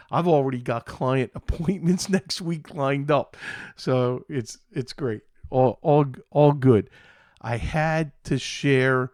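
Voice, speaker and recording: Slow, halting man hosting a tech show. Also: mid-range voice, a little mellow, no echo, clean studio sound. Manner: halting, pausing mid-sentence, repeating phrases. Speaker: IT support technician. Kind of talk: casual solo tech talk, unscripted.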